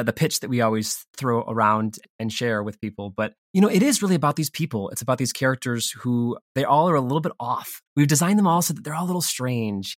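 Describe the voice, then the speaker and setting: Fast-talking man in a dry recording. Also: mid-range voice, dry recording. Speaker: audio drama co-creator, interview guest. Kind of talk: casual, animated explanation in an interview.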